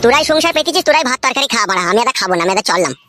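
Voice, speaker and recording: A man's voice: very high-pitched, big pitch swings, very fast, loud music behind the voice. A man voicing an aggrieved, foul-mouthed young son in a comedy cartoon, whiny and defiant. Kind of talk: Bengali comedy cartoon dub.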